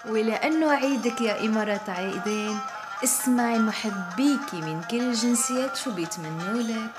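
Fast-talking cheerful woman host. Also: a little high. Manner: cheerful, rapid delivery. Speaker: show host. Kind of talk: upbeat show hosting in Arabic. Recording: music playing behind.

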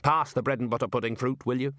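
A man's voice: medium pitch, steady tone, fast, a bit bright, no echo, clean studio sound, close to an everyday voice. A man voicing a grumbling, self-indulgent older male wizard with a sardonic manner.

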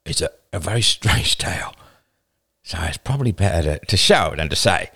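A man performs a scowling leader of a wilderness tribe in an audiobook. He is wary and scornful, used to command, and his pitch moves about widely. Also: big pitch swings, unhurried, vocal fry, pushed and bright, exaggerated voice. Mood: halting.

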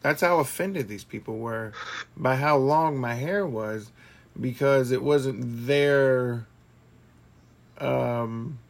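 A man co-hosting a casual discussion podcast, blunt and animated, swearing freely. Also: medium pitch, slow pace, vocal fry. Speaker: podcast co-host.

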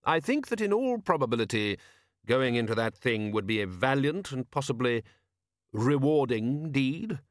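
A man voices a storybook tyrant who is calm, ironic and impeccably polite. He speaks slowly and deliberately in a close, dry recording, with menace kept quiet. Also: medium pitch, dry recording, lightly acted. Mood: thoughtful menace. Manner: slow, deliberate, formally polite.

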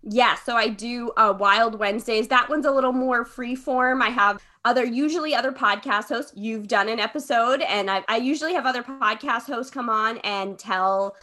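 A woman's voice: a little high, normal speed, hardly any pauses, pushed and bright. A woman from Connecticut chatting casually and informally as a comedian guest on a podcast. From Connecticut, USA. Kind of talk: casual chat.

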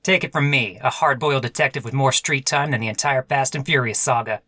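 A man playing a weary, melodramatic police detective in a parody noir radio play, wordy and deadpan. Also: mid-range voice, a little fast, roomy sound, natural voice. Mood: self-important.

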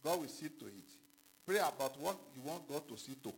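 A man preaching a sermon, halting and repetitive, with questions to the congregation. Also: on the high side, animated. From Ghana. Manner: halting, repeats himself, calls out questions to the congregation. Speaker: pastor.

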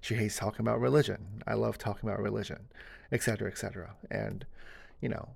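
Man with heavy vocal fry. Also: fairly low pitch, steady tone, fast. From United States (born in Korea). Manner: casual and earnest, many fillers like "uh" and "you know". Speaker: podcast host. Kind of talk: solo podcast monologue.

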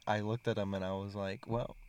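A man's voice: lowish voice, little pitch movement, fairly quick, a little mellow, slight background noise. A man hosting a casual chat, telling stories in a joking, playful way. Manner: joking, playful storyteller who laughs at his own jokes.

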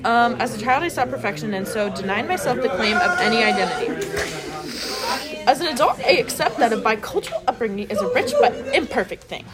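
A woman's voice: on the high side, sing-song, fairly quick, a loud crowd. A young woman, a student, reading aloud and chatting casually and playfully during group schoolwork. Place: busy classroom.

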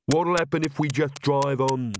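A man voicing a blunt, put-upon male servant with curt, flat replies. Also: medium pitch, normal speed, background noise, lightly acted.

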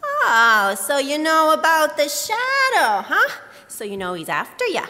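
A woman's voice: high voice, huge pitch swings, measured pace, bright, forceful tone, exaggerated voice. A woman playing a plucky old blind beggar in a live, dramatic radio crime play. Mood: defiant. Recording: live stage in echoey hall.

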